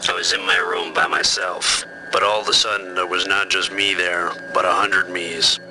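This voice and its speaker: A deep voice with clear noise behind it: an actor as a male crewman drifting into a trance. He muses wistfully and uneasily, as in a space horror game log. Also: little pitch movement, moderate pace, close to an everyday voice. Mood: entranced, wistful. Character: uneasy male crewman entranced by a strange dream. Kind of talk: sci-fi game audio log acting. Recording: noisy shipboard log with hum.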